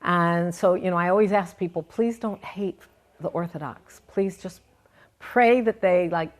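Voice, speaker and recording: A woman's voice: lowish voice, unhurried. A woman guest giving an earnest, conversational account of her faith in an interview. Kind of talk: interview, personal testimony.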